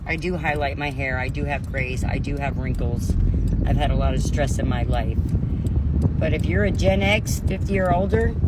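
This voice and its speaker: A woman in her fifties speaking candidly and upbeat, straight to her viewers in a casual social media clip. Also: deep voice. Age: 50s. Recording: loud vehicle noise behind.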